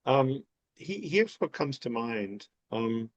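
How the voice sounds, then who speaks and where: A man answering a listener's question in a calm, reflective teaching manner, stopping now and then to clarify. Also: medium pitch, slow pace. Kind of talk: gentle conversational teaching. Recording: dull lo-fi audio.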